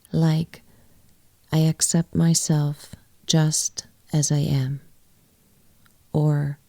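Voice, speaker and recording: A woman guiding a yoga nidra meditation in a soft, breathy, often near-whispered voice, with gentle phrases and long pauses. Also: low-pitched, no echo. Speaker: yoga nidra teacher and guide. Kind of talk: guided meditation, soft and soothing.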